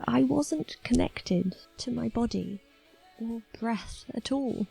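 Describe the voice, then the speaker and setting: A woman acting a dazed, disconnected diarist in a quiet, detached monologue. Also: fairly high pitch, slow pace, vocal fry, slightly rough, faint music behind, heavily acted, rough recording. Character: dazed, disconnected young woman confiding in a diary. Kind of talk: acted diary monologue with music.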